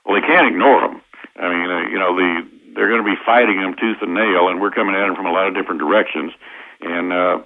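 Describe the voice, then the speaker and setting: An American man giving halting, opinionated explanations as an interview guest over the telephone. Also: deep voice. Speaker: interview guest, constitutional lawyer. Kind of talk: interview answers, explanatory political talk. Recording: tinny narrow-band phone line.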